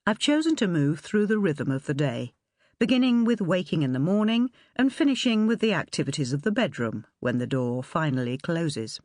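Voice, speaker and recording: A woman reading a nonfiction audiobook in a measured, articulate way. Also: lowish voice, sing-song, a little mellow, no echo, clean studio sound. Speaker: audiobook narrator. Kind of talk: scripted audiobook narration of nonfiction.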